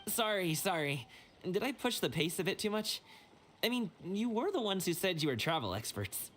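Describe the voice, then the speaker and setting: A woman's voice: on the low side, sing-song, moderate pace, noisy background. A woman voicing a friendly, chatty, considerate young travel guide in a video game, with an easygoing manner. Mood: apologetic, caring.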